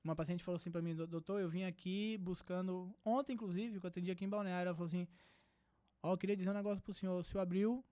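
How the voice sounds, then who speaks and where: A man's voice: high-pitched, fairly even intonation, racing, dark tone, no echo. A young adult man from Brazil, a psychiatrist guest on a podcast, talking in an earnest, reflective way and often adding tag questions. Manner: earnest, reflective, many filler "né". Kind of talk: casual podcast conversation.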